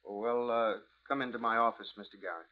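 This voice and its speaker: A man's voice: mid-range voice, steady tone, fairly quick, a little hiss or hum, lightly acted. Male actor as timid, anxious crooked businessman, American, hesitant and deferential, dull 1950s radio recording. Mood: guarded. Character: nervous, weak-willed guilty businessman, submissive to his lover. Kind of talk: 1950s radio drama acting.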